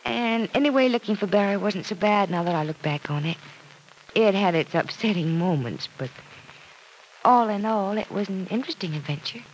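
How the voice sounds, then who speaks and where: A woman's voice: mid-range voice, lively intonation, moderate pace, close to an everyday voice. An actress playing a cheerful, reassuring young working woman in an old-time radio soap opera. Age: young adult. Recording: noisy old radio recording.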